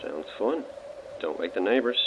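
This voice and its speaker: An actor playing a wry, breezy, teasing Irish boyfriend phoning home in a naturalistic audio drama. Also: male voice, low-pitched, lively intonation, moderate pace. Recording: muffled, noisy call from car.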